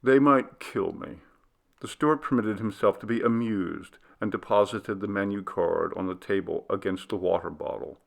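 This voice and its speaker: A man narrating a literary ghost story in a measured, deliberate storytelling manner. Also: fairly low pitch, fairly even intonation, creaky. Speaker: audiobook reader.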